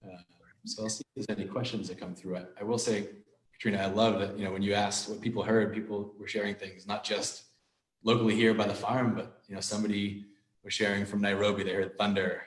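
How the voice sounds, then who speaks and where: A man's voice: on the low side, flat delivery, brisk. A man moderating a casual live question session, talking in a hesitant, rambling, conversational way over a video call. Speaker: host and moderator. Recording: roomy, echoing video-call audio.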